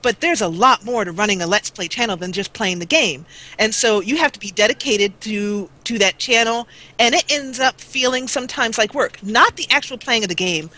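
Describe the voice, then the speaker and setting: A middle-aged American woman talking earnestly on a live show, halting and repeating words as she goes. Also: on the high side, pushed and bright, poor audio. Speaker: guest, gaming video creator. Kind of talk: live talk show conversation.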